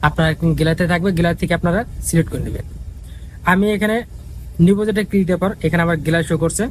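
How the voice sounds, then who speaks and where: Fast, high-pitched man. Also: fairly even intonation, slight background noise, rough recording. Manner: repetitive, instructional. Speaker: tutorial host. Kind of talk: casual step-by-step app tutorial.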